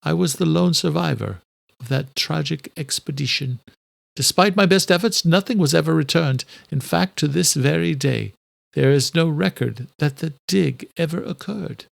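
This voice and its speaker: A man voicing an elderly scholarly doctor in an audiobook, courteous and direct, with formal, deliberate, old-fashioned phrasing. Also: fairly high pitch, gravelly, dry recording, voice pushed up. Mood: earnest, regretful. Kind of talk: audiobook character dialogue.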